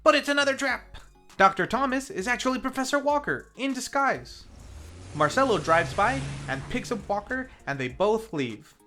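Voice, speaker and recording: Review show narrator of unclear gender, mid pitch, animated intonation, casual and playful scripted recap, faint music underneath. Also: moderate pace. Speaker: film review host.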